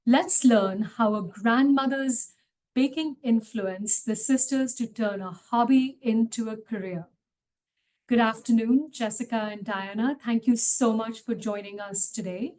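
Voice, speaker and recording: A woman's voice: a little high, a little slow, a little mellow, low-quality recording. Woman hosting a formal webinar. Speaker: host, city small business official. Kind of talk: scripted webinar introduction and interview questions.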